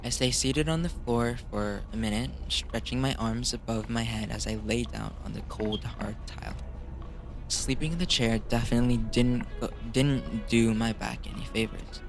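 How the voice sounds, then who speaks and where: A man's voice: mid-range voice, steady tone, normal speed, creaky, faint music behind. A man reading a visual novel aloud as a gamer, casual and slangy, mixing narration with his own reactions. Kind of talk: casual let's play narration with asides.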